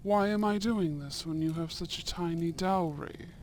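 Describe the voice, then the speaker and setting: A man playing a young, courteous and shrewd Edwardian viscount in a romantic audio roleplay, with formal, deliberate phrasing and soft murmurs. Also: on the high side, slight background noise, lightly acted. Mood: measured, amused.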